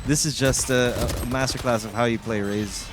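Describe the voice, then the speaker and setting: A man with a Filipino accent giving live esports analysis in measured, hesitant short phrases. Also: mid-range voice, fairly even intonation, music in the background. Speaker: esports shoutcaster.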